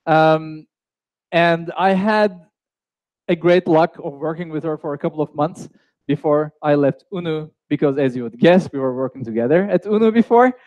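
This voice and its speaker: A man's voice: on the high side, normal speed, a little mellow, no room sound, poor audio. A young man hosting a live online coding course, chatty, with fillers and restarts. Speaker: course host.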